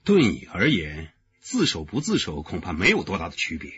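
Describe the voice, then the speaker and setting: A stern police detective in a radio crime drama. His deep voice is grave and probing as he questions a suspect. The recording is somewhat dull. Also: wildly swooping pitch, normal speed, fairly bright tone, heavily acted.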